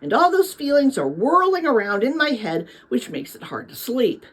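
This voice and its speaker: Woman reading as an eager young storybook boy, lively pitch. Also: moderate pace, breathy edge, full character voice, low-quality recording. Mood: anxious. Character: earnest, eager young boy, dreamer with big ideas.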